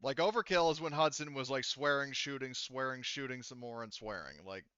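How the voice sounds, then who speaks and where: Male game master, bright and forceful, clean dry sound, casual rules talk and narration. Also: mid-range voice, a little fast, clear, resonant tone, dry recording, muffled recording.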